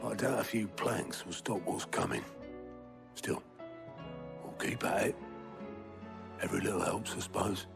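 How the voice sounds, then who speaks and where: Gravelly, wry, weathered ally. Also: male voice, lowish voice, measured pace, pauses often, music in the background. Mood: weary concern. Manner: wry, understated, colloquial. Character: weathered, wry male ally, plain-spoken and weary. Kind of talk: dramatic video game cutscene acting.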